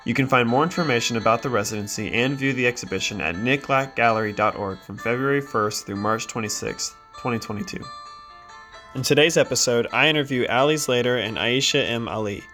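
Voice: mid-range voice, a little fast, pushed and bright, soft background music. A podcast host reading a scripted introduction, then asking guests questions in a looser, hesitant way.

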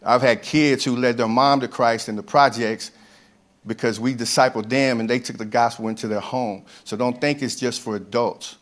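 A man's voice: mid-range voice, steady tone, normal speed. A man, a ministry leader, speaking earnestly to a church group, often stopping and restarting his sentences.

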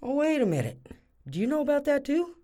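A woman's voice: sits high, big pitch swings, a little fast, dark tone. A woman playing a sassy, no-nonsense small-town snack seller in a comic audio drama, blunt and teasing with a Southern US accent. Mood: sassy, suspicious.

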